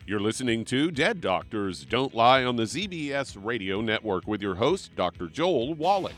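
A man reading scripted radio announcements and ad copy in a smooth, emphatic announcer manner. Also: mid-range voice, sing-song, moderate pace, a bit bright, soft background music. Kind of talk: scripted ad read.

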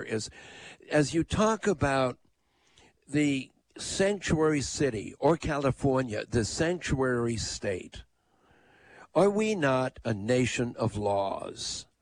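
A man hosting a call-in radio talk show, speaking in a conversational, emphatic way. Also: mid-range voice. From United States.

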